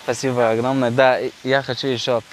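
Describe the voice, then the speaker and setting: A young man from Tajikistan with a Tajik accent, a street vlogger chatting with passers-by in halting Russian full of fillers and restarts. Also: medium pitch, level tone, slight background noise, poor audio. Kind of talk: casual street chat and singing. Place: outdoors in a city park.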